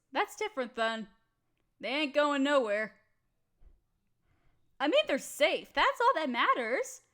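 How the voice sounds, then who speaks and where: High-voiced, restless young woman roommate acted by a woman. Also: a bit bright, exaggerated voice. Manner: slangy, dramatic, playfully complaining. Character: bored, restless, chatty young woman roommate stuck in quarantine. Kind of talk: casual scripted roleplay audio, talking to the listener.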